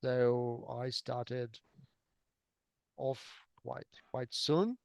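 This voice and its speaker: Middle aged russian guy w accent chatting in an interview, smooth clear voice, dry sound, bit hesitant. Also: medium pitch, no echo. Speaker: interview guest, simultaneous interpreter. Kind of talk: conversational interview answers about own career.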